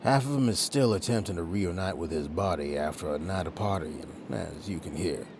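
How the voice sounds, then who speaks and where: A man's voice: low-pitched, brisk, background noise. A man playing a weary, long-suffering but good-natured agent in an audio drama, with a dry, understated manner. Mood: dry, resigned.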